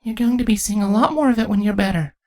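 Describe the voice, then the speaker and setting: A man voicing a young pony princess, a devoted, teasing daughter, in a soft voice for a storybook reading. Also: high voice, fast, no echo, clean studio sound, voice pushed up. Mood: encouraging.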